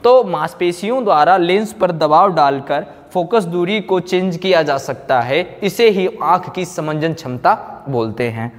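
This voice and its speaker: A man teacher lectures in a rather high voice, with long room reverb around it. He repeats phrases as if dictating notes. He often poses a question and answers it himself. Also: expressive. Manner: repeats phrases slowly for note-taking, asks and answers own questions. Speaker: physics teacher.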